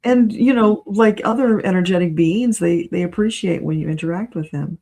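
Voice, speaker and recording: A woman talks as an interview guest in an easygoing, conversational way. Her voice sits a little low for a woman and has a very soft, mellow tone. She sounds like someone chatting informally. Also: normal speed. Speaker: guest, spiritual channeler and reader.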